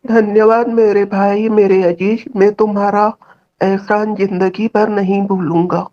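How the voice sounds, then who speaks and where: A woman's voice: medium pitch, flat delivery, measured pace, close to an everyday voice. Woman acting an earnest poor farmer, slightly dull audio. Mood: grateful. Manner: earnest, pleading. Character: poor, honest, worried, grateful male village farmer. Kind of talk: Hindi cartoon story acting.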